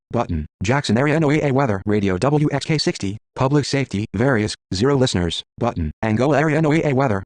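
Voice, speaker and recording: Robotic guy tts screen reader, reads lists really fast, clipped and mechanical, super dry sound. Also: medium pitch, no room sound. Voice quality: synthetic text-to-speech voice. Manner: clipped, mechanical delivery of names and codes. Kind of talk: flat automated list reading.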